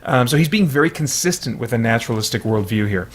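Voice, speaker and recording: Guy hosting a video essay, mid pitched, explains stuff casually, hedges a lot. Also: expressive, fast, husky. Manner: explanatory, hedging with frequent "you know". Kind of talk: informal analytical commentary.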